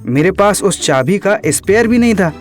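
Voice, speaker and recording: An earnest, courteous young man, played by a male actor in an audio drama. The voice is rather high, over light music. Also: lively intonation, normal speed. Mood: earnest, polite. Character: polite, earnest young man explaining himself at length.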